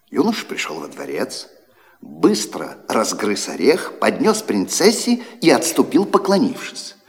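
A kindly old godfather in a fairy-tale audio play. His intonation rises and falls dramatically as he narrates with teasing, grumbling theatricality. The voice rings in a long hall reverb. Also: a little high. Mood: dramatic storytelling.

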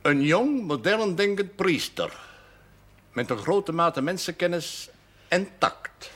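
Husky, formal elderly parish priest. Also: male voice, high voice, expressive, a bit bright, exaggerated voice. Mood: firm, authoritative. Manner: measured, formal speech sprinkled with French phrases. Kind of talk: 1970s television drama acting.

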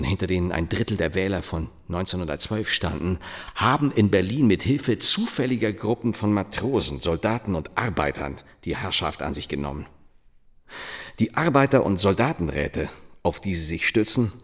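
An actor playing a grave, indignant monarchist reading an article aloud in a measured, declamatory radio drama style. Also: male voice, low voice.

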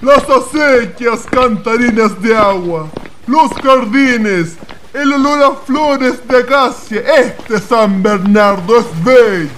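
An actor playing a hearty, genial gentleman in a radio drama. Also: extremely high pitch, slow, slight background noise, heavily acted. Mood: contented, admiring.

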